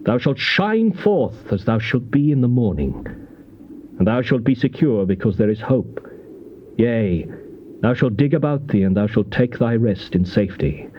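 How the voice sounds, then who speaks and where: An actor playing a stern, righteous elder who rebukes and admonishes in declamatory, rhetorical style for a dramatized scripture reading. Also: male voice, medium pitch, sing-song, unhurried. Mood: solemn exhortation. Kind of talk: dramatized scripture reading, classical stage acting. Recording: muffled old cassette tape, faint noise.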